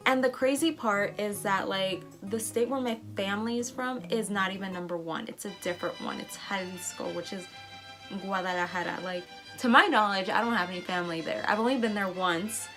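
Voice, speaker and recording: Excitable young woman vlogger, faint music. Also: medium pitch, fairly quick. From United States. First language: Spanish. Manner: excitable, reads results aloud with surprised asides. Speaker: travel vlogger. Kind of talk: casual vlog reaction.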